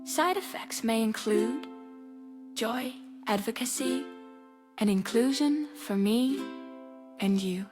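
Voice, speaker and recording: A woman delivering a scripted podcast intro in a slow, deliberate way. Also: a little high, darkish tone. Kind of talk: scripted show intro over music. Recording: ukulele music underneath.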